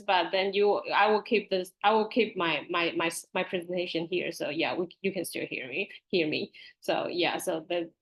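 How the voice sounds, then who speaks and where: A middle-aged woman presents informally over a video call in Taiwanese-accented English. Her pitch is mid-range for a woman. She feels her way through sentences haltingly, repeating words as she goes. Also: a bit bright. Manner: halting, with frequent repeated words and nervous laughter. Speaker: presenter, school librarian and author.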